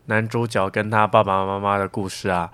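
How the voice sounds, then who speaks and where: A young man, a student guest telling anecdotes casually in a halting, self-correcting way, sometimes acting out examples. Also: lowish voice, level tone, fairly bright tone, clear, resonant tone, no echo. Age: young adult.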